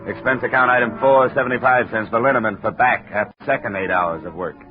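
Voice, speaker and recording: An actor playing a wisecracking hardboiled insurance investigator who also narrates the story, in the manner of a 1950s radio drama. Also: male voice, mid-range voice, fast, music in the background, close to an everyday voice. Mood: dry. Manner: dry, wry, matter-of-fact. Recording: phone-like old radio broadcast.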